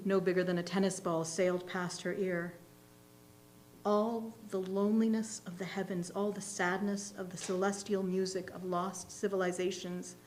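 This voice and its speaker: A woman reading literary fiction aloud as a reader's theatre performer, in a measured, expressive storytelling manner. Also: fairly low pitch, rough recording. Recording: faint hum and background noise.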